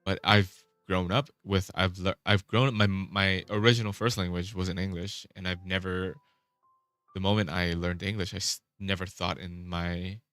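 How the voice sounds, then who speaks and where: A man's voice: sits low, steady tone, moderate pace, a bit bright, smooth, dry recording, soft background music. A man chatting casually on a vlog, rambling and restarting his sentences, swearing now and then. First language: Vietnamese. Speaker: vlogger.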